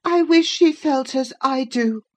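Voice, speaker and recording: A woman's voice: sits high, a little slow, darkish tone, pitched above the performer's own voice. A woman voicing a sickly, spoiled boy in an audiobook, petulant, needy and spiteful. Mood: fretful. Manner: petulant, wheedling. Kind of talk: dramatised audiobook reading of a classic novel.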